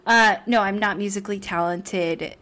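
A woman's voice: mid-range voice, normal speed, sharp tone. A woman co-hosting a podcast in casual chat, playful and opinionated, laughing often. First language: not English. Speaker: podcast co-host.

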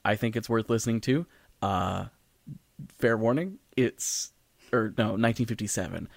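A man with a fairly low voice, recorded close and dry. He talks like a podcast co-host in casual discussion, informal and rambling as he works his thoughts out aloud. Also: normal speed, vocal fry, no echo. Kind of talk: casual discussion of storytelling in audio and stage.

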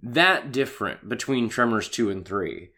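A man with a hoarse voice from a cold, co-hosting a casual podcast chat and laughing as he talks. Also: medium pitch, a little slow. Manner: animated, laughing, swearing. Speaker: podcast co-host.

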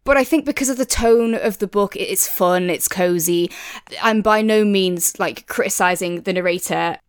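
A woman co-hosting a review podcast in casual conversation, thinking aloud and softening her points as she goes. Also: mid-range voice, moderate pace, clear, resonant tone, dry recording, studio quality. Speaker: podcast co-host reviewing audiobooks; works in audio production.

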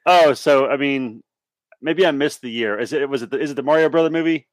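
A man's voice: mid-range voice, fairly quick, dry recording, poor audio. Male podcast guest, forties, casual anecdotal chat, dry room-free sound. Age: 40s. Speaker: podcast guest playing a guessing game.

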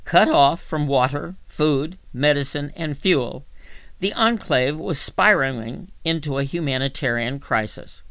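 A man with a somewhat higher-than-average voice reads magazine journalism aloud. His delivery is scripted narration, deliberate and measured, as for a reading service. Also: pushed and bright.

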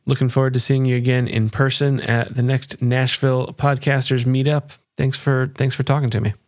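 A man's voice: medium pitch, flat delivery, moderate pace, darkish tone. A man hosting an interview podcast in a conversational, affirming and appreciative way.

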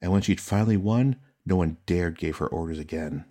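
A man with a very low-pitched voice narrates scripted fantasy fiction. His delivery is measured and dramatic, that of an audiobook storyteller. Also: mellow.

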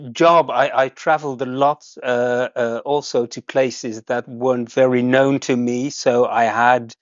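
A man from the Netherlands, a guest host, chatting casually in halting, hesitant English with many restarts. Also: mid-range voice, fairly even intonation, no echo, highs cut off. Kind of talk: casual chat.

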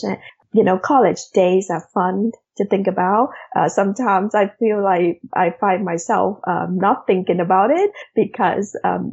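A woman in her forties from Vietnam, with a Vietnamese accent, speaking as an interview guest in a measured way, often saying "you know". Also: mid-range voice, gravelly, a little mellow, breathy edge, muffled recording. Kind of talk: conversational interview.

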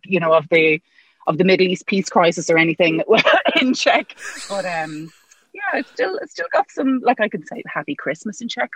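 A woman's voice: low-pitched, no room sound, rough recording. Woman chatting away, laughs a lot, dead dry sound. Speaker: guest, podcast presenter. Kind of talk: casual chat.

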